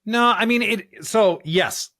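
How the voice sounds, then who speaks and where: An American man co-hosting a conversational Bible discussion podcast, earnest and halting as he searches for his words and piles up clauses. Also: high voice, sharp tone, dry recording, studio quality. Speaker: podcast co-host discussing scripture.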